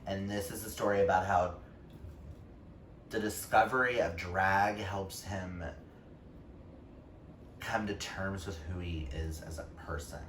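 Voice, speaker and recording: A man chatting casually about books as a vlogger, gushing and emphatic with dramatic pauses. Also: low-pitched, fairly even intonation, a bit bright. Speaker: book reviewer vlogger, reading challenge co-host. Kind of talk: casual book vlog chat. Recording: roomy, distant mic, faint noise.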